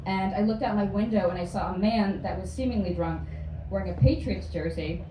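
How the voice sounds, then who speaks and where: A young woman from Florida doing a hesitant, deadpan stand-up set, full of ums and likes. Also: medium pitch, fairly quick. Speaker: stand-up comedian. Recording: distant, echoey club with noise.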